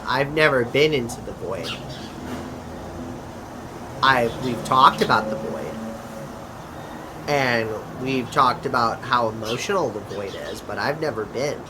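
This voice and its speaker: Over a noticeable bed of noise comes a man playing a chatty interdimensional adventurer. He talks slowly and hesitantly at a middling male pitch. The delivery is conversational audio drama dialogue. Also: animated, natural voice. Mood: awkward, explaining.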